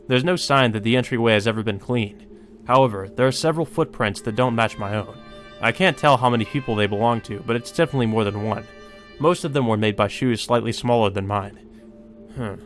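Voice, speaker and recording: A man's voice: mid-range voice, fairly bright tone. A man narrating a visual novel aloud as a let's play host, with brief asides of his own.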